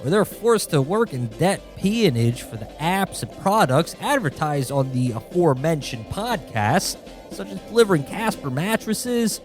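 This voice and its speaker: A man's voice: fairly high pitch, sing-song, measured pace, faint music behind. A man playing an exasperated guardian angel who explains things condescendingly in a radio-play parody. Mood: mock-solemn. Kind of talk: parody of old-time radio drama.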